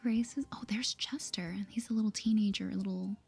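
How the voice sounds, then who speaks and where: A woman whispering soft-spoken ASMR commentary over a video game, chatty and gentle, reading dialogue aloud in a breathy voice. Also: mid-range voice, steady tone, brisk. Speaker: gaming video host. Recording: soft music behind the voice.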